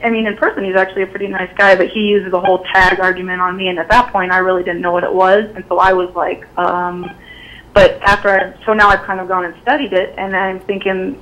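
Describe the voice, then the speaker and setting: Female caller, hoarse from a cold, flat delivery, hesitant with lots of ums. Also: mid-range voice, fast talker, low-quality recording. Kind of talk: phone-in conversation.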